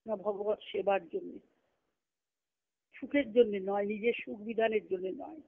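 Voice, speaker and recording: A woman's voice: medium pitch, normal speed. Woman on a tinny phone call giving a spiritual talk, short phrases, repeats herself, asks questions then answers them. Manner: didactic, with short phrases, repetition and rhetorical questions. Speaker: spiritual teacher giving a discourse. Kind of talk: devotional discourse on a group conference call. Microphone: telephone. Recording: narrow, tinny phone conference line.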